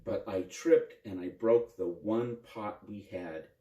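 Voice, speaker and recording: A man's voice: lowish voice, takes it slowly, warm tone, voice pushed down. A man voicing a humble village washerman in a children's folktale, earnest and plain-spoken. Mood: upset. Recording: roomy echo, distant microphone.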